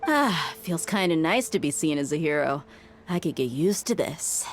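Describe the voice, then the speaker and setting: A woman's voice: low-pitched, lively intonation, moderate pace. A woman playing a brash, laid-back, teasing woman fighter in a video game, casual and cocky. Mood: teasing, pleased. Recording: faint music behind the voice.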